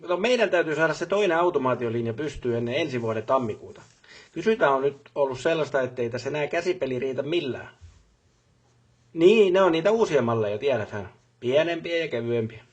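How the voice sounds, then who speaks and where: A man's voice: mid-range voice, expressive, breathy edge, muffled recording. A man playing a busy, businesslike factory boss in a video game, talking colloquially and repeating short affirmations. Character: busy, brisk male factory boss on the job. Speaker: voice actor for a game character. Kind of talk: game voice lines, phone calls and workplace speeches.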